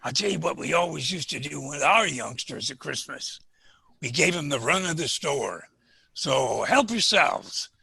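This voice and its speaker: An actor playing a kindly, folksy old storekeeper in a family radio drama, with a raspy voice and a wistful streak. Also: male voice, a little high, sing-song, measured pace, bright, forceful tone, no room sound, full character voice. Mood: hearty, welcoming. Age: older. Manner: folksy, hearty.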